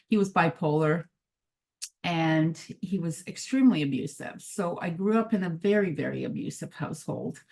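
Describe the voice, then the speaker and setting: Upbeat low-voiced woman coach. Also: animated, normal speed, vocal fry, darkish tone. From Canada, born in Croatia. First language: Croatian. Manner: upbeat, laughs often, emphatic repetition. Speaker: mindset coach. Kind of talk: motivational talk.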